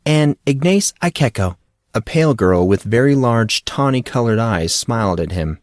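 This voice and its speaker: A man narrating a fantasy audiobook in a steady storytelling delivery. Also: mid-range voice, moderate pace, no echo, clean studio sound. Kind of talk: audiobook reading.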